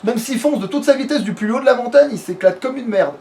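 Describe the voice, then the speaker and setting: High-voiced man casually commenting on a game. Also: fast, mellow. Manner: crude, slangy, swearing freely. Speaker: let's play gamer. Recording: clearly noisy home recording.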